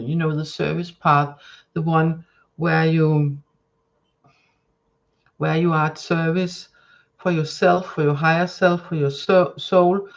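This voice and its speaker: A woman with a Danish accent chats through a live tarot reading, searching for words and circling back with many fillers. Her talk turns gentle and soothing when she gives spiritual guidance. The sound is somewhat dull and lo-fi. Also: on the low side. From Denmark. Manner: rambling, hesitant, many fillers and restarts. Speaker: tarot reader. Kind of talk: live-stream card reading chat.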